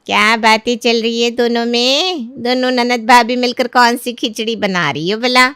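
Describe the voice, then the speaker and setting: A nosy middle-aged auntie from a storybook, put on by a woman narrator. She drawls and teases in a fairly high, effusive voice. The sound is dry. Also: moderate pace, a bit bright, smooth, no room sound, close to an everyday voice. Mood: nosy, teasing.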